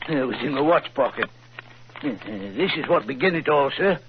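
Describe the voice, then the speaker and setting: An actor playing an excitable, middle-aged male pawnbroker, in 1940s radio acting, homely and easily astonished. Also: mid-range voice, moderate pace, a little hiss or hum, close to an everyday voice. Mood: folksy. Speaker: pawnbroker client. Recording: muffled old radio broadcast.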